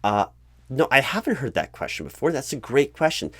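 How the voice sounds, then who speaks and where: A man playing a polite, flustered, bureaucratic recruiting manager in a spoken interview roleplay, haltingly repeating himself and over-explaining. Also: medium pitch, sing-song, dry recording. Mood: formal, patient. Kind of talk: one-sided ASMR interview roleplay, spoken aloud without whispering.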